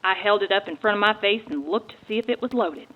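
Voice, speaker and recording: A woman's voice: medium pitch, quick pace, muffled recording, natural voice. A woman playing a folksy, earnest amateur detective and monster hunter with a Texan accent, in a dramatic reading of a memoir. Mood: earnest, resolute.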